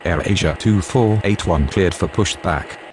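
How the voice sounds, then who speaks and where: A deep-voiced airline radio operator played by a man in a simulation game, formal and clipped. Clear noise sits behind the voice. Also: unhurried. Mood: businesslike. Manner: formal, procedural. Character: deep procedural male airline pilot and controller in a game. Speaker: air traffic radio voice. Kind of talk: clipped aviation radio phraseology.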